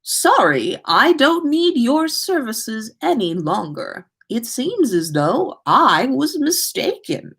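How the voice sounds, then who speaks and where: A woman's voice: on the high side, very animated, takes it slowly, hardly any pauses, fairly bright tone, husky, pitched above the performer's own voice, exaggerated voice. A woman voicing a cheerful, whimsical old male wizard headmaster with a polite, theatrical, sing-song delivery, in a storybook reading. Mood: matter-of-fact.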